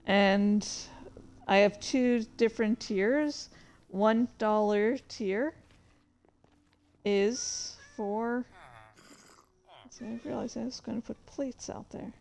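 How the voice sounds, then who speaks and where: Slow, unhurried woman chatting on stream. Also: fairly high pitch, gravelly, darkish tone. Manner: rambling, distracted asides. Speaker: streamer and indie author. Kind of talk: casual livestream chat.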